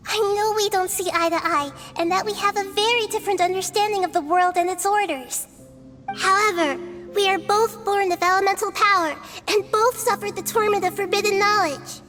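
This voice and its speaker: Wise little girl goddess, high youthful voice, soft, measured, earnest, composed, game music behind. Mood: earnest, calm. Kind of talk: video game voice acting.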